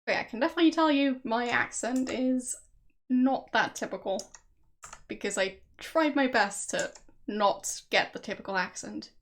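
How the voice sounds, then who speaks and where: A woman's voice: a little high, moderate pace, fairly bright tone. A young woman from Austria with an Austrian German accent, chatting casually with her stream viewers and laughing often. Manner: chatty, laughs often, answers chat guesses.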